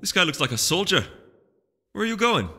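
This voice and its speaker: Casting: man as worried, inquisitive young mare for storybook, high and insistent, lively swings. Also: a little fast, heavily acted. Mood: worried, puzzled. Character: excitable young female pony friend, worried and inquisitive. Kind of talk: audiobook character voice. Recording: echoey hall reverb, music behind.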